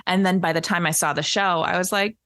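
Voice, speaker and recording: A woman's voice: on the low side, fairly even intonation, fast, fairly bright tone, no echo. Enthusiastic woman chatting, close dry sound. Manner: enthusiastic, emphatic. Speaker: podcast guest, podcast producer. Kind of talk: casual chat.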